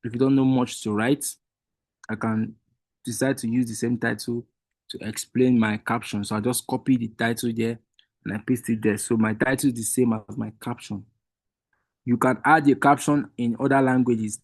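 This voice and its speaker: A man's voice: medium pitch, fairly even intonation, moderate pace, dry recording. A man whose first language is Igbo, explaining a screen-share tutorial step by step to a webinar group, patiently and with frequent restarts. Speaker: workshop trainer.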